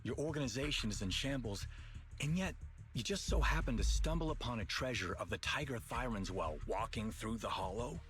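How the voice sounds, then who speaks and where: An actor voicing a scheming villain in a video game, condescending and sardonic. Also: male voice, medium pitch, animated, brisk, fairly bright tone, lightly acted. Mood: scornful. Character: scornful, scheming male villain, adult. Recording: game audio under music.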